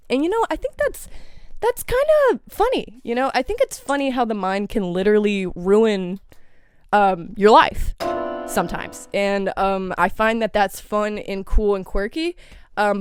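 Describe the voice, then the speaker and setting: A woman's voice: mid-range voice, clear, resonant tone, no echo, clean studio sound. A young woman hosting her own podcast, congested from a cold, talking in a rambling, candid way with lots of swearing and filler words. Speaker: podcast host. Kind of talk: casual solo podcast monologue.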